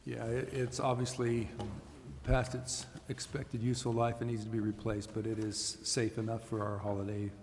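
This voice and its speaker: A man's voice: medium pitch, completely flat delivery, normal speed, darkish tone. A man giving explanatory remarks as a city official at a public meeting. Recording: echoey hall with long reverb, faint noise.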